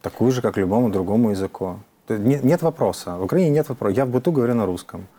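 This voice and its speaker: A man's voice: fairly low pitch, expressive, fast, mellow, breathy edge. A man from Ukraine, a member of parliament answering interview questions in an earnest, explanatory way, with frequent hesitations.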